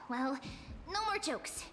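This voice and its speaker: A woman's voice: high-pitched, lively intonation, very slow, fairly bright tone, a little hiss or hum, heavily acted. A woman voicing a cute, timid, whimsical young girl in a video game, musing aloud in a hesitant way. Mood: startled, sighing. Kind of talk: scripted video game character acting.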